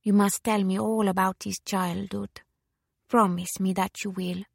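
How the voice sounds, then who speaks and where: A woman's voice: medium pitch, flat delivery, measured pace, gravelly, breathy edge, dry recording, clean studio sound, heavily acted. A woman voicing a theatrical, impulsive young Italian widow in an audiobook, with an Italian accent and an effusive manner. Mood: soft, coaxing. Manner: effusive, dramatic.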